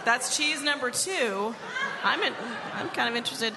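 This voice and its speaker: Woman radio host, higher voice, live stage show, audience and noise behind. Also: lively intonation, moderate pace, a loud crowd.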